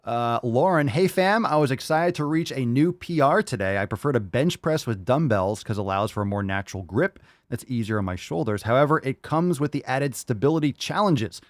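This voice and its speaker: A man's voice: mid-range voice, expressive, a little fast, hardly any pauses, no room sound, clean studio sound. Hyped, foul-mouthed podcast host man. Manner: hyped, profane, encouraging. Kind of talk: casual podcast chat reading listener posts.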